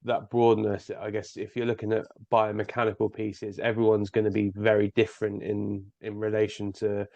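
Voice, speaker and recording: Male host, gravelly and low, asks rambling layered questions, dry close sound. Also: completely flat delivery, normal speed, few pauses, mellow, no echo. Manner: rambling, hedging questions with restarts. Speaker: podcast host. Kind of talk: conversational interview questions. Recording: dry, dull lo-fi audio.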